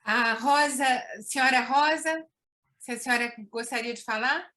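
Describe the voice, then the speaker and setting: A woman moderating an online Q&A and interpreting a guest's French, relaying phrases briskly in short pieces. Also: sits high, sharp tone.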